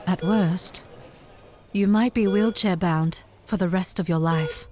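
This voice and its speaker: A woman playing a calm hospital doctor in an audio drama, speaking in a measured, formal, clinical manner. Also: fairly low pitch. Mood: grave, clinical.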